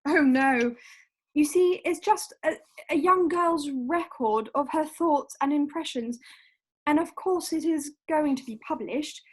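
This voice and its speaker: Casting: a woman as prim romantic girl in a stage comedy read-through, very high voice, composed and sweet. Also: unhurried. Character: sweet, self-possessed, romantic girlish young lady. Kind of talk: amateur play read-through. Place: at home on a video call. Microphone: video call.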